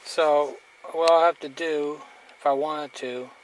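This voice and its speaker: A man's voice: a little high, fairly even intonation, takes it slowly. A man giving a casual hands-on gear demonstration in a blunt, plain-spoken, mildly crude manner. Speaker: hobbyist reviewer demonstrating radio gear. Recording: noisy amateur recording with clicks.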